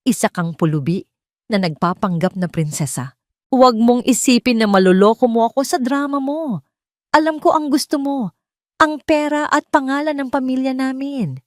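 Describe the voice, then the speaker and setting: A woman voicing a haughty, wealthy older mother in an audio drama, sharp, accusing and full of contempt. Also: sits high, moderate pace, no room sound, clean studio sound, voice pushed up, natural voice. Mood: contemptuous fury, then scornful accusation.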